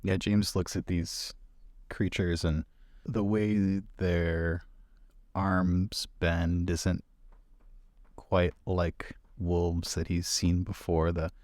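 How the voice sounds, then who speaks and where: Deep-voiced man playing a tabletop game. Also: a little mellow, dry recording, studio quality. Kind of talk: casual in-game narration and table talk.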